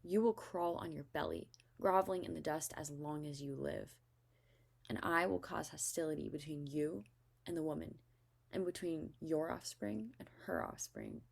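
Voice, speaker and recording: Woman reading as stern God, low and solemn. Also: normal speed, creaky, full character voice. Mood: solemn judgment. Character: stern, commanding God pronouncing judgment.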